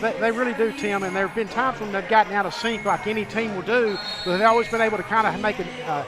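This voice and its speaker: High-voiced man calling volleyball courtside. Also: steady tone, quick pace. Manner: upbeat, informative, rambling asides. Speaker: play-by-play announcer. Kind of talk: sports broadcast commentary.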